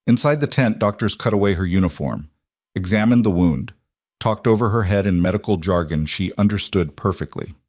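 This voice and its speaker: A man reads a dramatic story in a low, steady voice. The sound is dry and close. His delivery stays measured and even. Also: no echo. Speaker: narrator. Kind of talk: scripted story narration.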